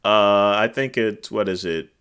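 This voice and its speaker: A man hosting a casual livestream, talking to viewers in a loud, carrying voice, rambling with many fillers and letting phrase ends drop off quietly. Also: on the low side, measured pace, clean tone. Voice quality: naturally loud, cutting voice. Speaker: livestream host. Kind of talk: casual chat reading viewer comments. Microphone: desk microphone.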